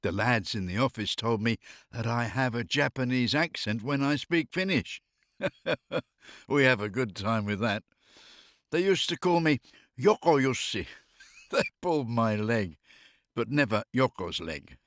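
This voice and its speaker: A man's voice: mid-range voice, animated, unhurried, pauses often, fairly bright tone, no echo, studio quality. A middle-aged or older man chatting casually and humorously, telling anecdotes in a wry way and often amused by his own jokes. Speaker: expatriate living in Finland.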